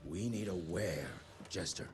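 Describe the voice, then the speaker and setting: An actor playing a weary older gunslinger in a video game, speaking in a terse, gruff, sardonic way. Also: male voice, low-pitched, a little slow. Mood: sardonic, grim. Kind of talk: video game cinematic dialogue.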